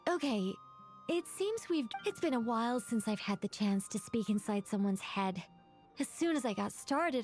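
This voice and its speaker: A woman's voice: fairly high pitch, darkish tone, natural voice. A woman voicing an eager young scholarly mystic in an anime-style video game, wordy and flustered, trailing off and correcting herself. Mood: flustered, explaining.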